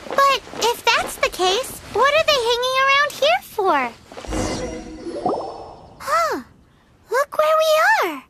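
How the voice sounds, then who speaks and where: Very squeaky little fairy sidekick. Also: female voice, sing-song, slow pace, long pauses, very noisy, exaggerated voice. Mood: puzzled. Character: tiny childlike fairy companion, squeaky, curious, excitable. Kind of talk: video game cutscene acting. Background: prominent game music.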